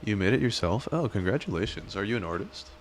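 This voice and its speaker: Deep-voiced joking male streamer. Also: animated, quick pace, slight background noise. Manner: rambling, joking, self-deprecating. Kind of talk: casual live-stream chat.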